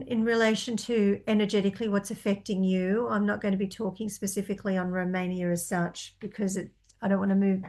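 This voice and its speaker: A woman reads out questions from viewers and answers them as a psychic. She talks tentatively and thinks aloud, with frequent ums and half-finished starts. Her voice sits at an average pitch. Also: darkish tone. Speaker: psychic reader.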